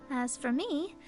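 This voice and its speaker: A woman's voice: high voice, a little mellow, heavily acted. A woman playing a proud young female astrologer in a video game who is poised but easily flustered.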